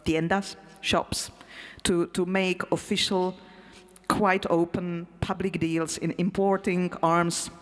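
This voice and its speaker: A woman, an English speaker, talking casually and haltingly as a panel speaker in a matter-of-fact way. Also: fairly low pitch. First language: not English. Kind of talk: role-played presentation as a crime boss to a live audience. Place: festival hall. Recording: echoey hall sound.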